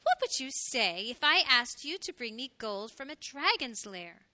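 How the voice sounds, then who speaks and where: A woman voicing a tender mother hedgehog who gently questions her child in a children's storybook, softly and in measured phrases. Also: fairly high pitch, big pitch swings, dry recording, heavily acted. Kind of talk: children's storybook read aloud.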